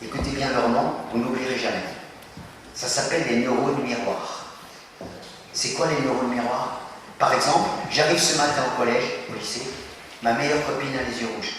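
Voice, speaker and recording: Male speaker for school talk, animated and questioning, distant noisy hall recording. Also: mid-range voice, moderate pace. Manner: animated, questions the audience, acts out little scenes. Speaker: speaker addressing students. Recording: echoey hall from far off, noisy.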